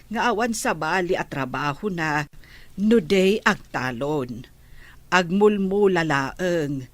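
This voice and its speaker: Actress as slow-talking, low-voiced Ilocano gossip. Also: female voice, heavily acted. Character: gossiping village woman, unhurried and knowing. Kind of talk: Ilocano radio drama acting.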